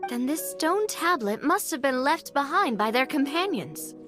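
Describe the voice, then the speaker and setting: Spirited high-pitched young adventurer. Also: female voice, sing-song, normal speed, music in the background. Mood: thoughtful. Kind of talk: video game acting.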